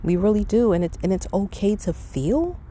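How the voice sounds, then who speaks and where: A woman's voice: mid-range voice, sing-song, normal speed, warm tone, slight background noise. A middle-aged woman hosting a podcast, talking in a casual, reflective monologue with an earnest, conversational and emphatic manner. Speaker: podcast host.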